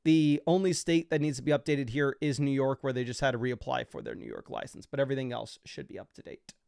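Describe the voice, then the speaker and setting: A man's voice: mid-range voice, animated, fast talker, creaky, darkish tone, clear, resonant tone, no echo, clean studio sound. A young man hosting a fantasy football livestream, talking loosely and casually with lots of fillers as he explains his picks.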